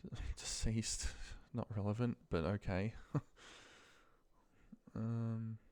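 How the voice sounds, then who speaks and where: A man's voice: on the low side, steady tone, takes it slowly, darkish tone, clear, resonant tone, no room sound. A man giving a casual, unscripted screen tutorial as a trainer, thinking aloud informally and trailing into mumbled asides, close to the mic. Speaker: trainer demonstrating a data task.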